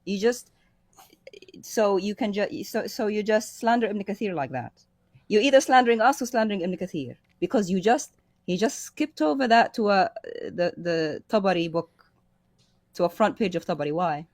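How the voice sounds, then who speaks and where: A man's voice: high voice, expressive, normal speed, no echo, clean studio sound. A man co-hosting a discussion, firing challenging questions in a confrontational way. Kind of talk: casual debate discussion.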